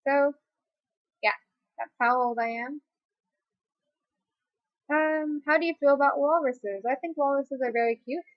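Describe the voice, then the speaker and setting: A woman's voice: sits high, measured pace, pauses often, no room sound. A twenty-year-old woman answering viewer questions in a casual, rambling way, full of fillers and restarts. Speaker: YouTuber. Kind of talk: casual facecam Q&A. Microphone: webcam mic. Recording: dry, dull lo-fi webcam audio.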